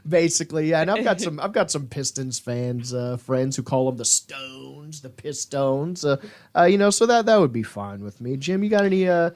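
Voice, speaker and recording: A man's voice: fairly high pitch, expressive, normal speed, a little mellow, no echo. A man hosting a sports podcast, chatting in an informal, jokey, slangy way. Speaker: podcast host. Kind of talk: casual sports podcast chat.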